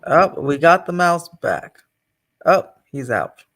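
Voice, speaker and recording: A man's voice: on the high side, very animated, slow, a bit bright. A man hosting a casual livestream, chatting off the cuff and addressing viewers. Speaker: channel host.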